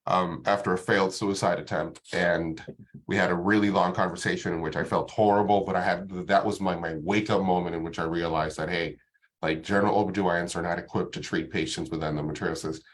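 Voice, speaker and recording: Male guest speaker, very low pitch, easygoing and halting. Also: slight background noise. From Dominican Republic. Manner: relaxed, many ums and restarts. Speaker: guest doctor presenting. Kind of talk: casual talk to an online audience.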